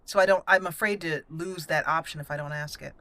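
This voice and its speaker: A woman's voice: deep voice, fairly even intonation, a little fast, sharp tone. Chatty woman doing gameplay commentary. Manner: chatty, informal, thinking aloud. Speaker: gamer hosting a roleplay playthrough.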